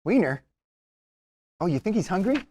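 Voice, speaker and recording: A man's voice: high voice, big pitch swings, normal speed, warm tone, a little hiss or hum. A man playing a fretful, whiny, naive sidekick in a comedy table read. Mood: puzzled. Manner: whiny, exclamatory.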